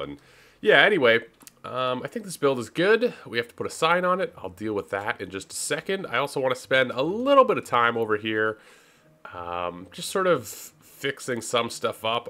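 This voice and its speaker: A man from Canada hosts a casual let's play in a deep, forward voice. His intonation swings freely as he talks. He muses through his choices and drops in wry, self-deprecating asides. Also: pushed and bright. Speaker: gaming YouTuber, host.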